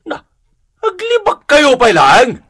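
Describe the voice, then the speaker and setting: High-pitched, blustering hothead. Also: male voice, huge pitch swings, takes it slowly, a bit bright, breathy edge, exaggerated voice. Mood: accusing. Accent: Ilocano. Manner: blustering, scolding, repeated exclamations. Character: hot-tempered quarrelsome man, loud neighborhood bully. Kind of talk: radio drama acting.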